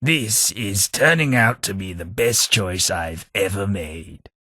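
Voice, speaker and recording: A prim, sardonic companion. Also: male voice, fairly low pitch, slow, pushed and bright, husky, no room sound, full character voice. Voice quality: dry, sardonic delivery. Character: prim, snobbish British male companion, dry wit. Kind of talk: video game companion lines.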